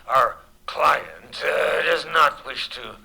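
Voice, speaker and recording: An actor playing a callous, gruff hired killer in an old-time radio thriller, halting and matter-of-fact, with a rough voice. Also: male voice, a little high, sharp tone, full character voice, poor audio. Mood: matter-of-fact, cold. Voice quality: gravelly, rough. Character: callous hired killer, a gruff male underling taking orders.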